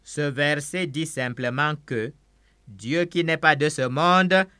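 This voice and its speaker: Male preacher, emphatic and repetitive, bright clear tone, dry recording. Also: fairly high pitch, slow pace, no echo. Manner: emphatic, repeats each phrase several times. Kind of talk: sermon with interpreter.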